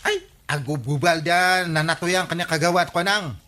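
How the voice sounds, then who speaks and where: A man with an Ilocano accent playing an animated villager in radio comedy drama dialogue. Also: a little high, normal speed.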